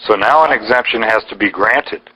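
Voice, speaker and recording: Low, bright-voiced man arguing by phone. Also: fairly quick, breathy edge. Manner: insistent, argumentative. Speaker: caller questioning an official. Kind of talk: phone conversation, informal debate. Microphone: telephone. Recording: recorded telephone call.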